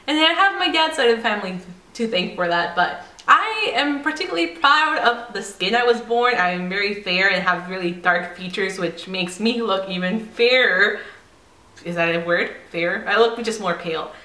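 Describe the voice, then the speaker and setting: A woman of twenty-five from San Diego, talking casually to the camera at home in an animated, chatty way. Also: medium pitch, normal speed, roomy sound. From San Diego, United States. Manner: animated and chatty, laughing often. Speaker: vlogger. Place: indoor home room by a staircase.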